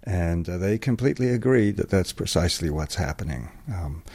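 A man's voice: low voice, expressive, fairly quick, a little mellow, husky. A middle-aged man from England answering a radio interview as a guest metalsmith, thoughtful and hesitant, with many ums and uhs.

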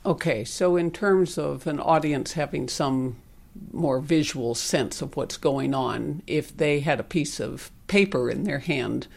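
A woman hosting a public radio arts program from the studio, speaking in a measured, articulate way as she introduces and interviews a guest. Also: deep voice, gravelly, darkish tone. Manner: measured, articulate, spells things out. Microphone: studio microphone.

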